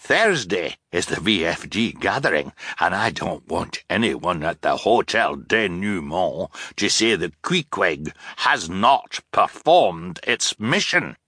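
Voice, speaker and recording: A man's voice: low-pitched, very animated, takes it slowly, hardly any pauses, sharp tone, breathy edge, dry recording, clean studio sound, heavily acted. A man voicing a frantic, bombastic submarine captain in an audiobook, talking in urgent, exclamatory, repetitive bursts. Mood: indignant. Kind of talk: audiobook character voice.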